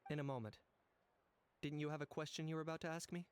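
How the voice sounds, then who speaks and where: A man voicing a calm, businesslike young monster hunter in a video game, measured and matter-of-fact. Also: on the high side, clear, resonant tone, lightly acted. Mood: cool, businesslike.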